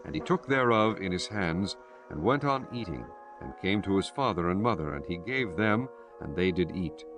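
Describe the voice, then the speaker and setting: Male Bible narrator: deep, formal, solemn read over clearly audible music. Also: animated, normal speed. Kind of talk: scripted scripture narration. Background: prominent music.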